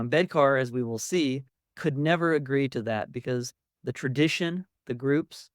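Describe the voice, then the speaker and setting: A man's voice: mid-range voice, dry recording. Man giving a conversational academic lecture, dry sound. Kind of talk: public academic lecture with slides.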